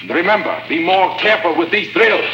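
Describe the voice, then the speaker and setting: An actor playing a stern, bullying chief guard in a radio drama, barking formal commands. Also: male voice, sits high, sing-song, fairly quick. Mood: harsh, threatening. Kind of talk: 1950s radio drama acting. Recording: muffled, noisy, echoing old radio recording.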